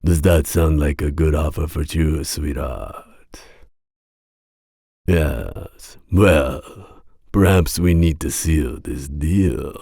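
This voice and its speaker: A man playing a masked villain mercenary in an ASMR roleplay, speaking in a formal, deliberate, seductive and menacing way. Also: very deep voice, vocal fry, warm tone, husky, no echo, studio quality, heavily acted. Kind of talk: ASMR roleplay audio drama.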